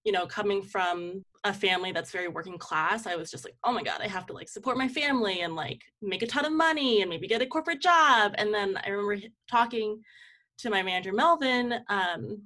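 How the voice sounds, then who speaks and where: A woman's voice: medium pitch, brisk, bright, forceful tone. A young woman speaking casually and reflectively as an interview guest, often dropping "like" into her sentences. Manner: informal, reflective, peppers speech with "like". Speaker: guest, community organizer and campaign manager.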